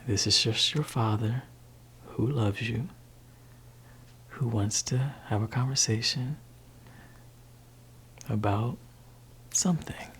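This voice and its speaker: Gravelly man playing a laid-back dad. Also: slow, long pauses, slight background noise. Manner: soft-spoken, shifting between low voice and whisper. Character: laid-back gentle father talking to his teenage child. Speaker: ASMR creator. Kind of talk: ASMR roleplay.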